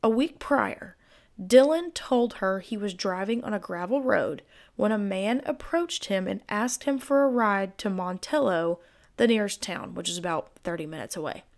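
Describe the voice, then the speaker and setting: Woman, hoarse gravelly voice, chatty true crime host with speculative asides, middle pitch. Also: moderate pace. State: hoarse, voice giving out. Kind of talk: casual storytelling narration.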